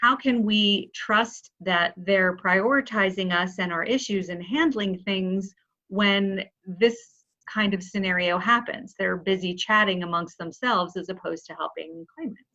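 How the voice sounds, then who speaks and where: Woman, interviewer, pointed questioning, medium pitch, muffled lo-fi recording. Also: measured pace, pushed and bright. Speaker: reporter interviewing an official. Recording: dull, lo-fi interview audio.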